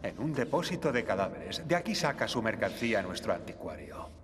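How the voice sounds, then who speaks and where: A man's voice: lowish voice, very animated, brisk, slight background noise. An actor playing a composed, refined detective who speaks precisely and corrects his assistant's manners, in a cartoon dub. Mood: calm. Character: calm refined male detective.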